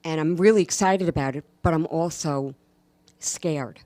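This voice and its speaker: Female comic from New York, low voice, dry close sound, lively and jokey. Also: no echo. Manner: lively, laughing, quick asides. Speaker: comedian guest. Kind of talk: casual radio chat.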